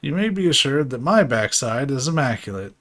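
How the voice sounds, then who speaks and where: Casting: a man playing a polite, scholarly fantasy game character who speaks in archaic, ornate, measured sentences. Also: mid-range voice, sing-song, fairly bright tone. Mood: courteous, solemn. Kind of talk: game dialogue read aloud in character.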